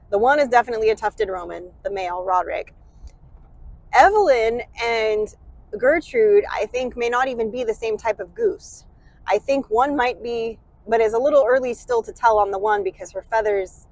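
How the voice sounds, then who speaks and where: A woman's voice: mid-range voice, dry recording, rough recording. Sick, congested American woman host, chatty car vlog, dry close sound. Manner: chatty, rambling, self-deprecating. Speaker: channel host. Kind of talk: casual vlog while driving.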